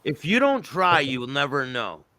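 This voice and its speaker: A man's voice: on the high side, big pitch swings, moderate pace, crowd noise. A man hosting a casual live chat in a slangy, joking, streetwise way. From Connecticut, United States. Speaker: host.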